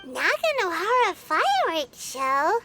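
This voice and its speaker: Tiny, extremely squeaky fairy companion. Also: female voice, very animated, measured pace, loud music behind the voice, full character voice. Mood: doubtful, curious. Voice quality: squeaky, childlike. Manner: exclamatory, questioning. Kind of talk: cartoonish video game voice acting.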